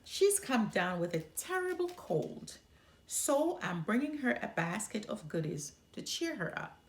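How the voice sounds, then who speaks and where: A woman's voice: fairly high pitch, sing-song, measured pace. A woman playing a sweet, innocent little girl in a children's storybook, trusting and polite. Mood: innocent cheerful. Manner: trusting, earnest. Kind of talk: children's storybook character reading.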